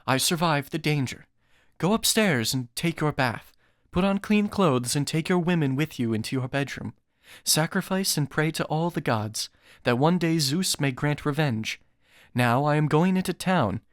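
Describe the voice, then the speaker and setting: A man voicing an earnest young prince, firm, calm and formal, in an epic audiobook. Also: a little high, normal speed, no echo, clean studio sound, lightly acted.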